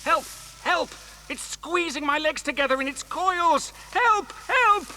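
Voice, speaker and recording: An actor playing a frantic, cursing villain in a children's adventure drama. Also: male voice, very high voice, expressive, measured pace, bright, forceful tone, a little hiss or hum, full character voice. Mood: terrified.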